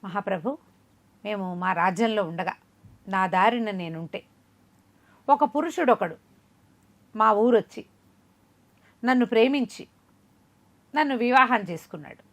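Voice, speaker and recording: A woman's voice: medium pitch, unhurried, long pauses, voice pushed down, natural voice. A woman voicing a wronged young woman who pleads earnestly before a king in a told folk tale. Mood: pleading.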